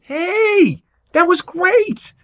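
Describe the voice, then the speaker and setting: A man playing a calm, easygoing musician friend in an audio drama who talks in a soothing, encouraging way. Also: very high-pitched, extremely slow, slight background noise, exaggerated voice. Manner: soothing, laid-back, encouraging.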